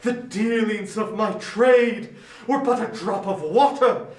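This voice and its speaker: An actor playing the tormented ghost of a dead businessman in a dramatic reading, declaiming in drawn-out, anguished remorse. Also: high voice. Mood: wailing outrage. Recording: echoey room sound.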